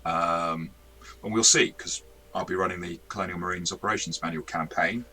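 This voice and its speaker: A man's voice: deep voice, steady tone, fairly quick, pushed and bright. A man co-hosting a casual review discussion as a game master, often correcting himself and adding asides. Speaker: tabletop game master and review show co-host.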